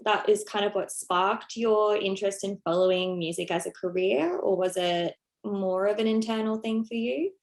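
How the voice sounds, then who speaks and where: A woman interviewer asking relaxed, friendly questions with hesitant fillers. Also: mid-range voice, moderate pace, few pauses.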